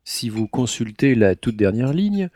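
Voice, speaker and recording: A man's voice: medium pitch, sing-song, measured pace, mellow. A man playing a courteous but sly finance minister in an audio drama, formal and politely insistent. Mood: slyly insistent.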